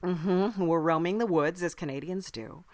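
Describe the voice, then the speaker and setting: A woman playing a wry, deadpan podcast host who lives in Hell, telling improvised stories in a halting, hesitant way. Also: lowish voice, no echo, lightly acted. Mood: wry, rueful. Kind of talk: improvised in-character comedy storytelling.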